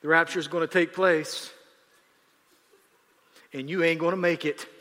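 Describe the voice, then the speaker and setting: A man's voice: fairly high pitch, fairly even intonation, measured pace, pushed and bright. A man quoting a blunt, scolding old grandmother in a light Italian-American accent. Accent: Italian-American, put on. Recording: long hall reverb.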